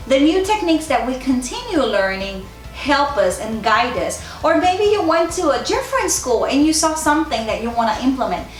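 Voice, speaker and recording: A woman's voice: high voice, fairly bright tone. A woman from Puerto Rico with a Puerto Rican accent, speaking as a school counselor and giving encouraging, informal advice straight to viewers. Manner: addresses viewers directly, encouraging. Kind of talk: informal advice vlog to camera. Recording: echoey, roomy sound.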